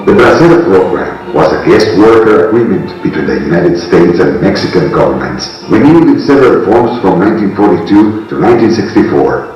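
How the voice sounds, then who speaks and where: A man's voice: low voice, sing-song, fairly quick, hardly any pauses, far from the mic. A man giving scripted documentary narration. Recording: dull playback in echoey hall, music. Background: music.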